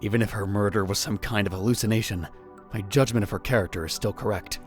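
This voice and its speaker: A man's voice: lowish voice, fairly even intonation, quick pace, soft background music, natural voice. A man playing an arrogant, theatrical male college student who narrates a visual novel fan dub in a pompous way. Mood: scornful, suspicious. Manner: pompous, dramatic delivery with exclamations.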